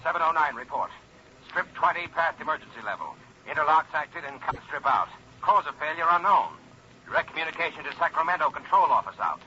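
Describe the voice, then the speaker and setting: A harried control-office subordinate in a vintage radio drama. His sharp, hard-edged voice rattles out clipped, urgent reports through a phone filter, over a noisy old recording. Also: fairly high pitch, lively intonation, a little fast, highs cut off. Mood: urgent, official. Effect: telephone filter. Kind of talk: 1950s radio drama acting.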